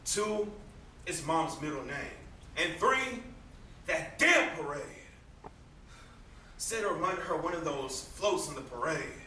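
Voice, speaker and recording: A man's voice: on the high side, lively intonation, takes it slowly, long pauses, sharp tone, heavily acted. A man acting on stage as a teasing but protective older brother, with a sarcastic, needling, theatrical delivery. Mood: animated reminiscing. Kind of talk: amateur stage play acting. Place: indoor stage, recorded from afar. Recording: echoey stage, distant noisy camera microphone.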